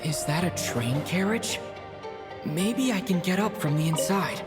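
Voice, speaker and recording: An earnest young male adventurer from a video game, with a high voice. He speaks slowly and expressively, as if thinking aloud with concern. Loud music sits behind him. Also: expressive. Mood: pondering, determined.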